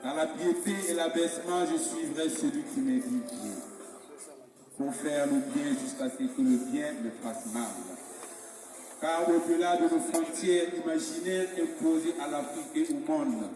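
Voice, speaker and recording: A man reciting devotional poetry in a solemn, measured, rhetorical way. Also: on the high side. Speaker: poet reciting his own religious poem. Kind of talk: declaimed French spoken-word poetry with a sung opening hymn. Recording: very echoey hall, distant, music behind. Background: music.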